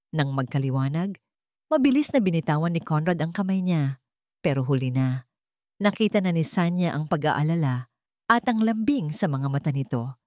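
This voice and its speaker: Low-voiced woman narrating a romantic drama. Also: darkish tone, no echo, clean studio sound. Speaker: storyteller. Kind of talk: dramatic scripted narration of a love story.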